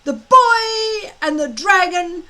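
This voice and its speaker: A woman reading an audiobook, voicing a blustering, shouting male Viking teacher. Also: very high-pitched, lively intonation, voice pushed up, heavily acted. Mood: pompous. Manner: bellowing, gloating, drawn-out. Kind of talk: audiobook character voice.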